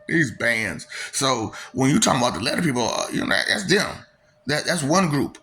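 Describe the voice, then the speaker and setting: A man's voice: medium pitch, big pitch swings, moderate pace, vocal fry, a bit bright. Preachy man with a drawling monologue. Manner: preachy, rhetorical questions, lots of filler. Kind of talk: casual monologue.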